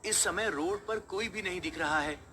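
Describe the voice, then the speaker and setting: Casting: male actor as nervy young bachelor, high plaintive voice, horror cartoon, noisy recording. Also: normal speed, pushed and bright. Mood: worried. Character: short, unmarried young man, earnest and easily scared. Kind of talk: acted dialogue in a Hindi horror cartoon story.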